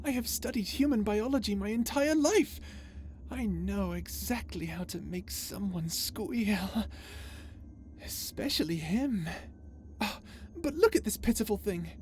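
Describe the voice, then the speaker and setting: A woman playing an unhinged, vengeful, lovesick and tormented space villain in an ASMR roleplay drama, speaking in a theatrical, halting way. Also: mid-range voice, expressive, a little mellow, a little hiss or hum, lightly acted. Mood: sweetly sinister. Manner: theatrical, halting, swinging between tender menace and anguish.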